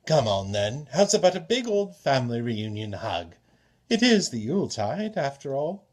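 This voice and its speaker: A man voicing a roguish, grand trickster god from a storybook, with a formal, measured and persuasive manner. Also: mid-range voice, very animated, slight background noise, pitched above the performer's own voice, close to an everyday voice. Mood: playful. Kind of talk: storybook character reading.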